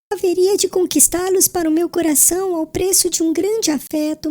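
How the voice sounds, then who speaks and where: A woman acting a high, gentle dying maiden. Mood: tender, wistful. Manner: tender, earnest, formal diction. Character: gentle, devout, dying young fiancée, resigned and loving. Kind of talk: audiobook drama acting.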